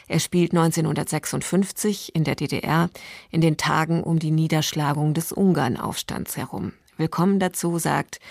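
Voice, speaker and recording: A woman radio host giving a scripted introduction to a literary reading in a measured, explanatory manner. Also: deep voice, creaky, darkish tone, no room sound, studio quality. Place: dry radio studio.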